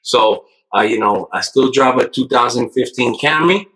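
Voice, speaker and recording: Bright, husky-voiced man telling his story. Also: medium pitch, normal speed. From United States. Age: 30s. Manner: rambling, animated storytelling with frequent "you know". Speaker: podcast guest. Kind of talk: casual podcast chat.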